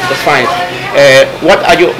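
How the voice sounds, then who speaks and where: A man's voice: fairly high pitch, steady tone. Middle-aged man interviewer in echoey shop. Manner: friendly, many "uh" fillers, quick laughs. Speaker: interviewer for a local business directory. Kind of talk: casual interview. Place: small bakery cafe, indoors. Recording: echoey room with background noise.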